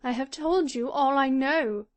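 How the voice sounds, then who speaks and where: A woman's voice: sits high, unhurried, dry recording, pitched above the performer's own voice. A woman reading an audiobook, voicing a proud, weary lady of the house who answers guardedly. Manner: guarded, defensive.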